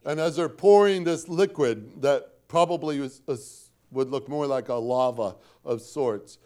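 Guy from northwest indiana preaching, folksy and chatty, middle-pitched voice, repeats his main points for emphasis. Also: measured pace, a little mellow. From Northwest Indiana, USA. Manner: conversational, folksy storytelling with dry self-deprecating asides; emphatic repetition of key points. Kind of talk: sermon.

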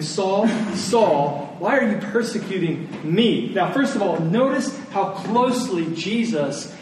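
A man delivers a sermon in a high-pitched voice. He leans on deliberate repetition and rhetorical questions for emphasis. The sound is very reverberant and picked up from a distance, with faint noise underneath. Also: darkish tone. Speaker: preacher. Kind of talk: sermon to a live congregation. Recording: very echoey room, distant mic, faint noise.

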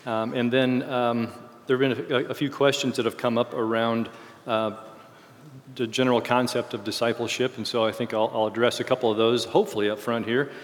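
A man giving an informal, explanatory Bible lesson in halting phrases, his voice a little worn from a cold. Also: mid-range voice, flat delivery. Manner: halting, explanatory delivery with self-corrections. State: getting over a cold, voice slightly failing. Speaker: church teacher leading a series on discipleship. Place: speaking to a group in a large reverberant room. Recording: reverberant hall sound.

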